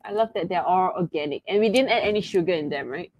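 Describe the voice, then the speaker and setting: A woman's voice: on the low side, fast, no echo, muffled recording. A woman co-hosting from behind the camera in a kitchen, upbeat and giggly, relaying viewer questions. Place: home kitchen, indoors. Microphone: camera mic, behind the camera.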